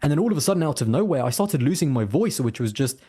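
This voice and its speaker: A man's voice: on the high side, sing-song, fast talker, warm tone, slightly rough. A man chatting as an interview guest, rambling with lots of fillers and restarts. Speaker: guest, racing content creator. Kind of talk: casual interview chat.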